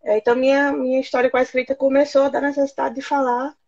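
Hoarse woman with a cold, kinda high and gravelly, muffled lo-fi call audio. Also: flat delivery, quick pace. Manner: soft, slightly shy. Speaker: writer guest. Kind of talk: casual video-call interview. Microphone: video call from home.

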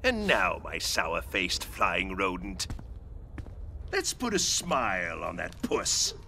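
A gleefully menacing clown villain for a video game. He savours his words slowly and mockingly, swinging theatrically from low purr to high cackle. Loud cinematic music plays underneath. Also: huge pitch swings, gravelly, heavily acted. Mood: gleeful taunting. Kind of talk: video game cutscene acting.

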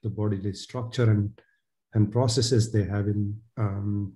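Very dark, flat-voiced man. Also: on the low side, measured pace. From Nepal. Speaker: panelist, advocacy co-director. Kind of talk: webinar panel talk. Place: home room on a video call. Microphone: headset.

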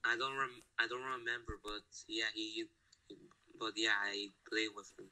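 A man chatting while playing a strategy game with a friend, hesitant and halting, restarting his sentences often. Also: lowish voice, steady tone, pushed and bright, low-quality recording. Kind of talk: casual gaming chat.

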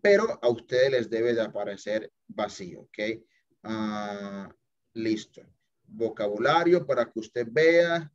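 A man's voice: fairly low pitch, lively intonation, takes it slowly. A man teaching an English class over a video call, walking students through an app and checking in with them often, mixing in English phrases. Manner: chatty, checks in with "listo?" and "ok?", mixes English phrases. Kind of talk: screen-share app walkthrough for students. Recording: dull lo-fi video call audio.

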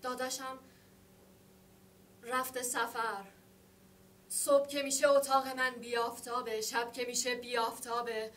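An actress playing a lonely young woman waiting for her brother, speaking in a theatrical monologue of short, halting phrases. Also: a little high, little pitch movement, a bit bright, slight background noise, natural voice. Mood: hushed, wistful. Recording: roomy sound from a distant mic.

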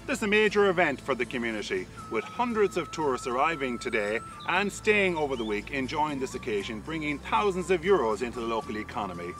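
A middle-aged Irish man presenting a promotional piece to camera outdoors on a riverside promenade, upbeat and promotional. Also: medium pitch. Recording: with music behind, mic a bit distant.

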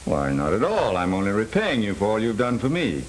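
Lively-pitched scheming American broker. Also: male voice, fairly quick. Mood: suavely genial. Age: middle-aged. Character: smooth, scheming middle-aged crooked investment broker. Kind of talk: 1930s film acting. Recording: hissy 1930s film soundtrack.

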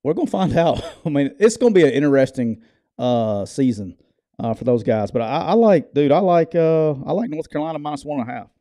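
A man's voice: on the high side, sing-song, a little fast, mellow, dry recording. A middle-aged man co-hosting a sports podcast, brash and jokey, often stammering through restarts, speaking into a studio mic in a home studio. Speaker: podcast co-host. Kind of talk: casual sports betting chat. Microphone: headphones and studio mic.

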